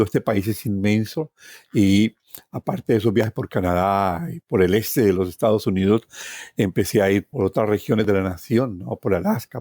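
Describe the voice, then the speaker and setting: A man's voice: on the low side, darkish tone, no echo, studio quality. A middle-aged man with a Colombian accent telling personal stories, with emphatic repetition and conversational tag questions. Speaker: interview guest, tour guide. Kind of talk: personal storytelling.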